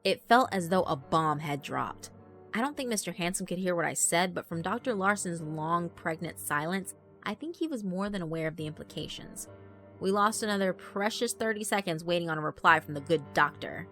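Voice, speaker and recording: Bright-voiced woman narrating horror. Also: on the low side, expressive, a little fast. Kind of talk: dramatic scripted horror narration. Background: background music.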